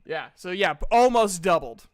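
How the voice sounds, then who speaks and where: Male game master, high and clear, playful and sweary, casual tabletop storytelling, close dry sound. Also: lively intonation, moderate pace, dry recording.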